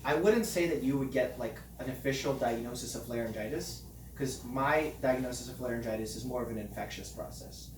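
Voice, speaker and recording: A man who is a doctor, calmly explaining health matters in plain everyday words during a casual chat. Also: mid-range voice, a little fast, reverberant, recorded from a distance, slight background noise. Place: hot room under studio lights.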